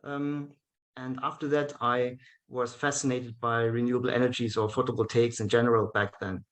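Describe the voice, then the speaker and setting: A man with a German accent, speaking English as his second language in a relaxed interview. His voice sits in an ordinary middle pitch, and his talk comes in halting pieces, full of "um"s and restarts. He is heard over a video call. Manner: hesitant, many fillers and restarts, laughs often. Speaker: guest, event organizer. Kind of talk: casual interview chat.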